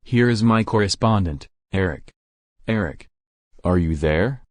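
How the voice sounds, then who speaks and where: A man's voice: sits low, animated, measured pace, a little hiss or hum. A man playing a composed, businesslike local news anchor delivering scripted breaking news.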